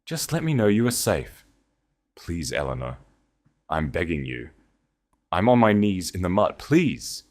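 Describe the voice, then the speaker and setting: A man's voice: low voice, big pitch swings, a little slow, long pauses, darkish tone. A man voicing a broken, pleading duke. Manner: choked, pleading. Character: grief-stricken duke, man, begging, broken.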